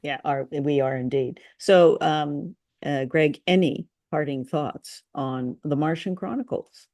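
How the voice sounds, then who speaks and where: Creaky-voiced woman in casual podcast chat. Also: sits low, takes it slowly, a little mellow, no room sound, clean studio sound. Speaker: podcast host. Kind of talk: casual chat reviewing an old radio drama.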